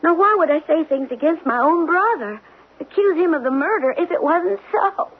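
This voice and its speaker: A woman's voice: extremely high pitch, animated, moderate pace. An actress playing a desperate, pleading woman defending her lover in an old-time radio drama, with emphatic delivery. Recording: tinny narrow-band radio broadcast.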